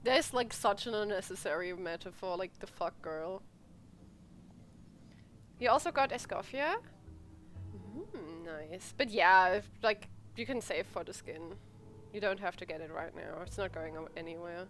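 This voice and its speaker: A woman doing casual live gameplay commentary, chatting to viewers in an expressive, playful way. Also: lowish voice, unhurried, a little hiss or hum. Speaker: game livestreamer. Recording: game music behind voice. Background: video game music and dialogue.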